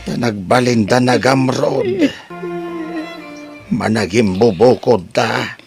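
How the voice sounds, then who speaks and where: A man's voice: mid-range voice, big pitch swings, takes it slowly, creaky, full character voice. A man with an Ilocano accent, acting a worried younger relative in an audio drama, often questioning. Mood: indignant. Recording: soft music behind.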